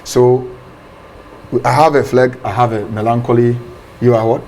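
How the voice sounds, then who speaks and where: A man, a pastor explaining ideas in an interview, animated and often repeating words. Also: mid-range voice, moderate pace, slight background noise. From Ghana.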